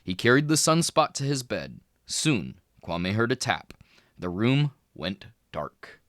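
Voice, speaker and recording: A man reading a children's fantasy book aloud, expressive and clear, with small voice shifts for the characters. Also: fairly low pitch, slow, dry recording, clean studio sound. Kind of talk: read-aloud storybook narration.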